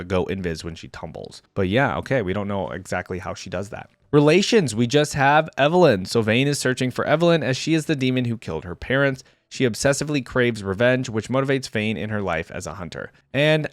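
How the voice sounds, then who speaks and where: A man's voice: lowish voice, no echo. Man reading aloud casually, close dry sound. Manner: conversational, thinks aloud between read passages. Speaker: video creator reacting to game lore.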